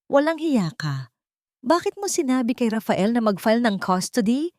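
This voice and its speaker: A woman narrator plays a scheming younger Filipino villainess in an audio story. She is furious and accusing, her pitch jumping high and wild as she shouts. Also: sing-song, normal speed, no room sound, clean studio sound.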